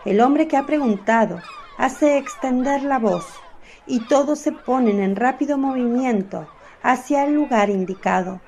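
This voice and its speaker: A woman narrating a radio drama in a calm, measured way. Her voice sits a little high and she takes her time, with faint hiss underneath.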